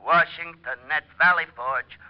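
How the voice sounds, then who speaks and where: An actor playing a goofy, hesitant, folksy American police sidekick in old radio comedy-drama style. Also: male voice, fairly high pitch, unhurried, lightly acted. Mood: eager, hesitant. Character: goofy, easily smitten male police sidekick named Otis. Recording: muffled vintage radio recording.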